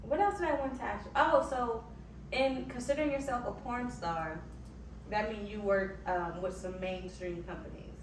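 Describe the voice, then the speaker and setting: Young woman host, quick casual reactions. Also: on the high side. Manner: short upbeat reactions, casual slang. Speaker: interview host. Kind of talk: casual interview chat. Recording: echoey room, far from the mic, noisy.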